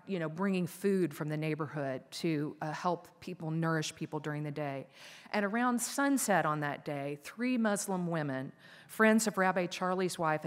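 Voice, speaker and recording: A woman in her fifties giving a formal prepared keynote speech from a lectern on a conference stage, in a measured, earnest delivery. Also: on the low side. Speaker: keynote speaker. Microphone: lectern microphones. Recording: reverberant conference hall.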